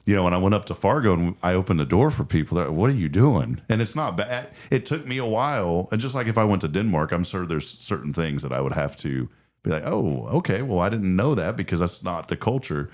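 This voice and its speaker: A man from Texas hosts a relaxed morning talk show with a very low, hoarse, raspy voice. He chuckles easily as he talks. His pitch moves around a lot. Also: a little fast. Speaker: host. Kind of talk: casual chat.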